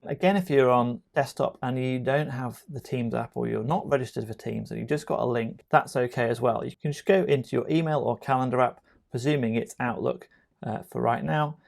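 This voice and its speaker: A man presenting an informal software tutorial, talking directly to the viewer in a conversational, explanatory way. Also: mid-range voice, brisk, creaky.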